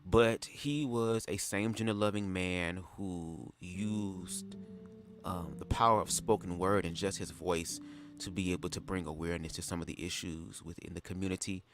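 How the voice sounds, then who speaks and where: A man's voice: deep voice, fairly even intonation, normal speed, music in the background. A man hosting a casual livestream, chatty and slangy, talking straight to his viewers with a stuffy nose. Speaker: livestream host.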